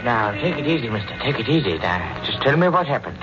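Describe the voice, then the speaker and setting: An actor playing a gruff, skeptical beat policeman with a blunt, plain-spoken manner in 1940s radio style. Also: medium pitch, very animated, quick pace, lightly acted. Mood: placating. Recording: muffled old radio recording with loud music.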